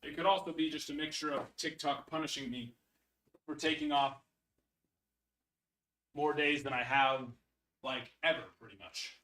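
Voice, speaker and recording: A man hosting a sports card break livestream, chatting casually with viewers in a slightly hoarse voice. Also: fairly even intonation, moderate pace, long pauses, bright, forceful tone, poor audio. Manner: chatty, rambling, upbeat sales pitches.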